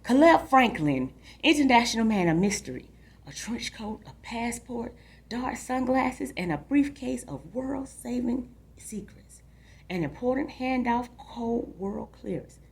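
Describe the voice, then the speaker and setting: A woman's voice: a little high, lively intonation, a little slow, gravelly, slightly rough, voice pushed up, exaggerated voice. A woman reading a storybook aloud as a restless, imaginative young boy longing for adventure, in a dramatic, confiding way. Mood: dreamy, wistful. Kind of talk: expressive storybook read-aloud.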